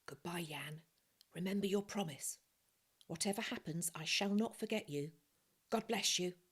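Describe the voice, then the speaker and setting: A woman's voice: on the low side, normal speed, husky. A woman voicing a kindly, grateful man on the run in a storybook, with an earnest, questioning manner. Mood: solemn farewell.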